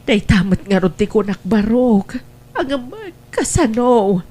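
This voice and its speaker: A woman's voice: fairly high pitch, sing-song, a little slow, dark tone. Woman as older mother, worried, lamenting, anxious sighing delivery, family radio drama.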